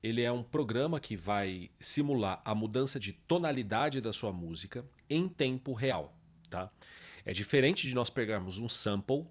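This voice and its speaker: Brazilian man giving an informal software tutorial. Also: medium pitch, expressive, normal speed. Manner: frequent tag questions checking understanding. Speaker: music production tutorial host. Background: guitar samples and music played from software.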